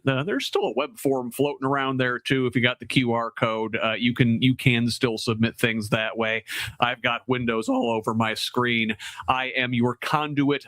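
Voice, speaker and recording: A man hosting a virtual town hall, relaying viewer questions in an animated way with many fillers. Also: medium pitch. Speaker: host. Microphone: video call.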